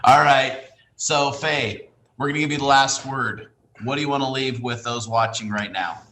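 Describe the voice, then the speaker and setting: A man hosting an online interview, relaxed and joking as he hands over to others. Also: mid-range voice, moderate pace, slight background noise. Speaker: host.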